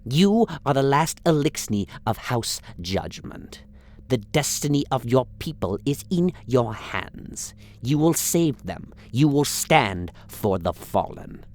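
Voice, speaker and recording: A man voicing a humble alien scribe in a radio play, with a croaking, burbling voice and halting, solemn, earnest speech. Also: mid-range voice, very animated, a little mellow, voice pushed up.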